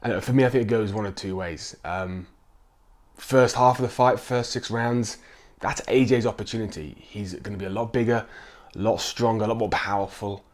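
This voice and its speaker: A man with a croaky, slightly rough voice from a chest cough. He talks casually to his viewers, often stopping on an um or restarting a sentence. His tone stays easy and conversational. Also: on the low side, fairly even intonation, moderate pace. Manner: chatty, with frequent ums and restarts. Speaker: sports vlogger. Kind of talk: casual chat to camera.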